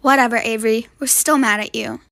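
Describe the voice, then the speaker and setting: A woman's voice: on the high side, steady tone, fairly quick, gravelly, fairly bright tone, no room sound, studio quality. A woman voicing a bossy, easily annoyed young camper girl in an amateur doll roleplay, snappy and scolding. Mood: dismissive.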